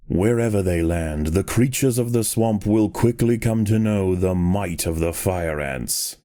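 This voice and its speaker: A deep, mellow nature documentary narrator for a game. He delivers scripted lines with grave, measured drama. The sound is dry and intimate. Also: no room sound.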